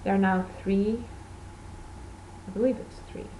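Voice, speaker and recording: Mellow-voiced Portuguese woman chatting casually. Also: mid-range voice, steady tone, unhurried, slight background noise, poor audio. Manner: rambling, self-correcting, conversational. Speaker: knitting podcast host. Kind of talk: casual podcast chat.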